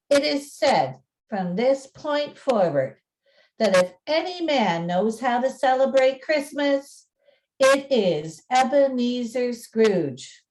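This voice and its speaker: Slow-paced woman presenting and storytelling. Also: fairly high pitch, darkish tone, rough recording. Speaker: narrator and presenter. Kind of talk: storytelling narration and informal presenting.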